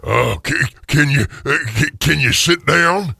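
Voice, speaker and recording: A man's voice: fairly high pitch, measured pace, creaky, bright, forceful tone, husky, in a large hall, full character voice. A man playing a nervous, crotchety old miser who stammers querulously in a radio drama. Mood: startled. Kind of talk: radio theater acting.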